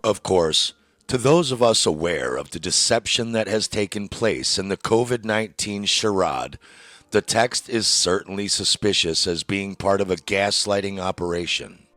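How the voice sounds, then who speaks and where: Sardonic deep-voiced male documentary narrator. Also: moderate pace, fairly bright tone, dry recording, faint music behind. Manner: wry, sardonic, with dry asides. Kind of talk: scripted narration.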